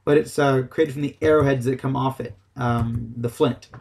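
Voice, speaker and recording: A man's voice: medium pitch, fairly even intonation, moderate pace, vocal fry, darkish tone, poor audio. A man giving a casual, unscripted game tutorial, explaining things in a loose, hesitant way. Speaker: gaming tutorial host.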